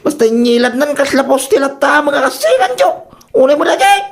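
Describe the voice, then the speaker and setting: An actor playing an excitable, scheming husband in a radio comedy drama, exclaiming in an Ilocano accent. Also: male voice, very high-pitched, fairly quick, gravelly, exaggerated voice. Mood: defensive, scheming. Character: excitable husband scheming to father a son for inheritance.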